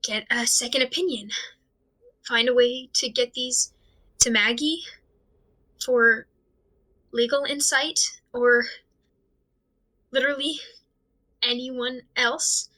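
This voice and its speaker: Audio drama lead: anxious amateur investigator , played by a woman, higher voice with a tense, sharp edge, halting and stammering monologue. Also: full character voice, low-quality recording. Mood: weary, overwhelmed. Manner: halting, stammering, rambling, fretful. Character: anxious, overwhelmed amateur investigator talking through a dilemma alone.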